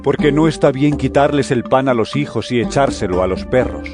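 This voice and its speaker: An actor playing a solemn, authoritative male teacher and healer in a dramatized scripture audio drama, speaking in a measured, deliberate way. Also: mid-range voice, over music, close to an everyday voice. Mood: firm, gentle.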